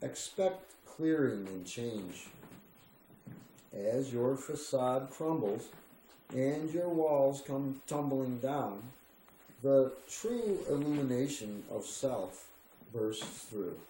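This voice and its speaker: A man reads spiritual writing slowly and solemnly, leaving deliberate pauses between phrases. His voice is mellow, and the room adds a noticeable echo. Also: medium pitch, lively intonation. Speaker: reader. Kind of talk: slow spiritual reading of a written text. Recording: roomy echo with faint background noise.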